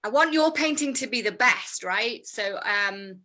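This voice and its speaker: A woman's voice: a little high, lively intonation, unhurried, sharp tone. A woman hosting an improv scene, chatty and giggly with lots of fillers. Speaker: improv performer and host. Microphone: video call.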